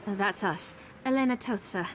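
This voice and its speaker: A woman's voice: mid-range voice, normal speed, a little hiss or hum, close to an everyday voice, rough recording. An actress playing an eager, courteous female coach hand with a polite, deferential manner in a fantasy video game. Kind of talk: dramatic video game voice acting.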